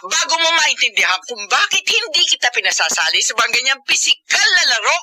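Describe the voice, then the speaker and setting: A woman's voice: high-pitched, big pitch swings, fairly quick, sharp tone, full character voice. An actress playing a strict, fiercely protective middle-aged Filipino mother in a radio drama, with an emphatic, emotional delivery. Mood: angry, anguished.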